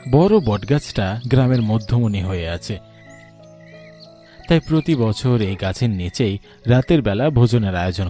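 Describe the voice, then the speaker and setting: A man's voice: lowish voice, dark tone. A man narrating a scripted, dramatic spooky story, with music underneath.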